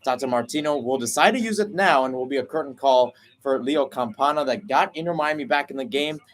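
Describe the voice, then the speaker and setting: A man calling a football match live, laying out the tactics and the changes as they happen. His delivery is energetic and analytical, and his pitch sits in a middle range for a man. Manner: energetic, analytical, breaks between halves. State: voice recovering after losing it. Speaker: play-by-play commentator. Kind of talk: live football play-by-play commentary.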